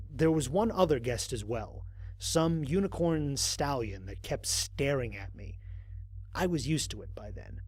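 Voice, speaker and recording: A man's voice: a little high, a little mellow, husky, dry recording, full character voice. A man playing a human space explorer recording a mission log in an audio drama, speaking conversationally with wry, dry amusement. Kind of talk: first-person audio drama narration as a log entry.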